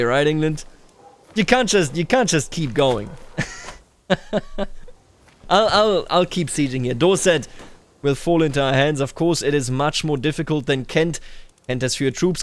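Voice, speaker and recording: A man giving casual roleplay commentary on a strategy game, thinking aloud and talking straight to the game's characters. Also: a little high. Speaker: gaming video host.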